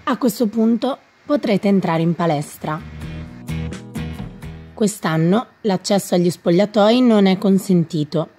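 A woman's voice: medium pitch, unhurried, warm tone. A woman reading scripted instructional narration, addressing young athletes in an explanatory manner. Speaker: gym staff narrator. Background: background music.

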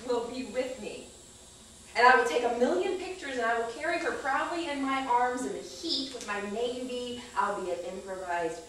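A woman in her thirties performing an expressive, poetic personal monologue on stage with deliberate delivery. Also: a little high. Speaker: spoken-word storyteller on stage. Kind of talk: rehearsed dramatic personal monologue read to an audience. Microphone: far camera microphone. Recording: echoey theater, distant mic, faint noise.

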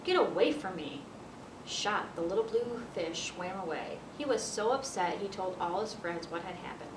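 An American woman in her thirties reading a picture book aloud to children. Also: normal speed. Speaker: children's library storyteller. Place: library children's area, indoors. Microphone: camera a few feet away. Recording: roomy, distant mic with noisy rumble.

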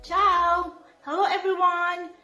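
Bubbly filipina lady, high bright voice, echoey apartment. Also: takes it slowly. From Philippines. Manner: bubbly, animated greeting. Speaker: cleaner and caretaker. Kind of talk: promotional testimonial. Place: apartment room. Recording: echoey room with soft music.

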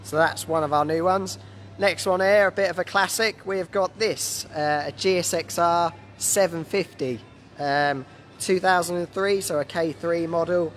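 A man in his thirties with a slightly croaky voice from a cold, casually presenting stock as a dealer on a live walkaround. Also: a little high, unhurried, a bit bright. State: has a cold. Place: large indoor motorbike warehouse showroom. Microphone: handheld phone. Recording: noisy handheld phone in warehouse.

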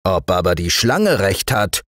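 A man's voice: sits low, very animated, unhurried, a bit bright, no echo, studio quality, heavily acted. A man voicing an angry male wolf who rules as king, speaking in a stern, curt way.

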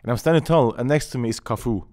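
A man in his forties with a Norwegian accent, a guest telling stories in animated, slightly broken English at a desk mic in a small studio. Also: medium pitch, fast talker. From Norway. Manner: animated storytelling, gesturing, some broken English. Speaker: guest, former footballer. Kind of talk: casual podcast chat. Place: small studio with colourful backdrop. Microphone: desk mic on stand.

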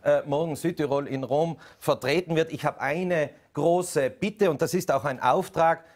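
Firm, somewhat high-voiced man. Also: moderate pace. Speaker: election candidate. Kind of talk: TV panel debate answer. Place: TV studio.

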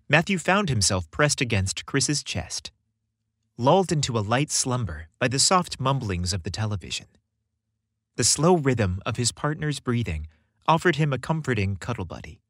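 A man's voice: mid-range voice, very animated, moderate pace, a bit bright, no echo, clean studio sound. A man reading a romantic story aloud as a scripted narration.

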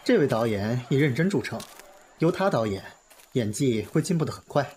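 Man acting a teasing, animated young film star. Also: medium pitch, normal speed, a little hiss or hum, lightly acted. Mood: calm, encouraging.

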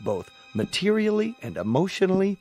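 Polished salesman, animated pitch. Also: normal speed, faint music behind, full character voice. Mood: persuasive. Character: smooth, courteous, persuasive corporate salesman. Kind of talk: radio drama acting.

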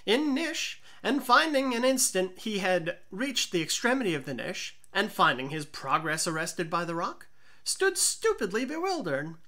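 Woman reading a gothic story out loud, theatrical, bright voice, lots of pitch movement. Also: sing-song, normal speed. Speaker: audiobook narrator. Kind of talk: dramatic gothic storybook narration.

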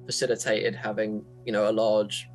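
A young man interviews someone over a video call. His voice is fairly low and his phrasing informal and hedging. There is faint music behind him. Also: level tone, normal speed, a little mellow. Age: young adult. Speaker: interviewer, magazine editor. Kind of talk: casual interview questioning. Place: small room at home, video call.

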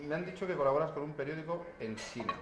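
A man interviewing a guest in a kitchen, asking brisk, friendly questions in a casual chat. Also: fairly high pitch, animated, music in the background. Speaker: interviewer, show's cook.

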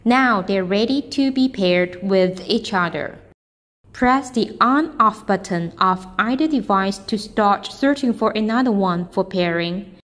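Woman reading scripted product instructions. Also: medium pitch. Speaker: instructional video narrator. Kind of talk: scripted product tutorial narration.

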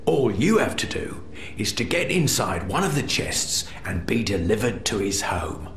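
An actor playing a shady, mocking crime boss in a video game, smug and conspiratorial. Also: male voice, on the low side, sing-song, measured pace, fairly bright tone, roomy sound, a little hiss or hum. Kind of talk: video game character acting.

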